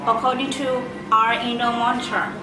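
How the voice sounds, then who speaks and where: A woman giving a step-by-step product demonstration in simple, deliberate non-native phrasing. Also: on the high side, flat delivery, music in the background. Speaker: product presenter. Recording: echoey room, distant mic, loud noise.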